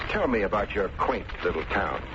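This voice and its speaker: A man's voice: lowish voice, very animated, normal speed, background noise. An American man playing a wry, slangy, hard-boiled private investigator who narrates his case in an old radio detective drama. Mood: probing, cool. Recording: muffled old radio recording, loud music.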